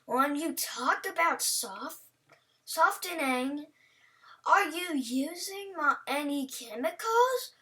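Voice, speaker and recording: A woman's voice: high voice, animated, takes it slowly, pushed and bright. A woman hosting a reaction video in a casual, rambling, playful way, chatting to what she watches. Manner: rambling, playful, talks to the on-screen character. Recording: voice a bit far from mic.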